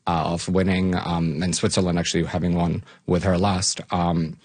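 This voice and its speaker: A man from Bosnia-Herzegovina giving informal, conversational interview answers as an academic guest, often softening points as they go. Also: low-pitched, little pitch movement, normal speed, dry recording. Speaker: academic guest, longtime fan of the topic.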